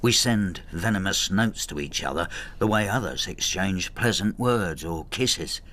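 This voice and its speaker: A man's voice: low voice, animated, measured pace, pushed and bright, full character voice. An actor playing an embittered, spiteful old husband who narrates his marital war in a brooding, intimate radio drama style. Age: older.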